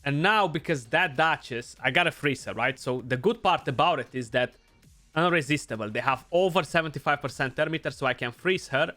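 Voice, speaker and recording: A man's voice: on the high side, brisk, pushed and bright, faint music behind. A young man with a Romanian accent giving casual gameplay commentary, thinking aloud and often adding "you know". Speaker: gaming YouTuber.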